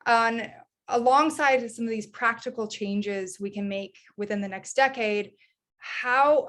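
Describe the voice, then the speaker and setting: A woman moderates a panel discussion, summing up points and handing questions to the speakers. Her voice sits at a middle pitch and her manner is encouraging. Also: fairly bright tone. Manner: encouraging, inviting.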